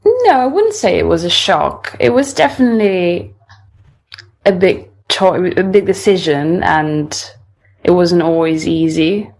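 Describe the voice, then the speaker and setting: Young Italian woman speaking English, low-pitched. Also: animated, a little slow, darkish tone. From Italy. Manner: thoughtful, restarts often. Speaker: singer-songwriter guest. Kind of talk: casual interview.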